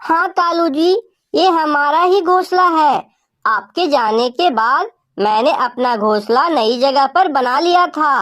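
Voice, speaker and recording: A caring mother crow from a children's cartoon, acted by a woman. The voice sits fairly high and is tender and expressive, nurturing in the way it addresses family. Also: slow pace, heavily acted. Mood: joyful, earnest.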